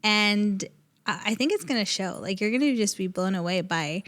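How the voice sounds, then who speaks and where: A woman's voice: mid-range voice, expressive, normal speed, fairly bright tone. A woman in her thirties co-hosting a podcast in casual chat, with a bubbly, smiley and friendly manner. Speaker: podcast co-host.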